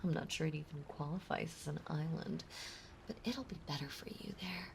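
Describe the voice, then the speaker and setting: A woman playing a possessive, clingy mermaid in an intimate ASMR roleplay, speaking softly and breathily and lingering on her words. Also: sits low, brisk, vocal fry. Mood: sweetly possessive. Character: possessive, clingy yandere mermaid, sweetly obsessive young woman. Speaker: voice actress in a roleplay audio. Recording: faint background noise, water ambience. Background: ocean ambience sound effects.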